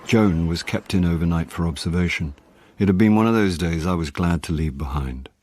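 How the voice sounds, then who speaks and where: An actor playing a wry, hard-up middle-aged British actor who talks and narrates drily in a radio drama. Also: male voice, sits low, brisk, no echo. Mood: wry, weary. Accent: British English. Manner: dry, deadpan, world-weary. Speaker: lead character and first-person narrator.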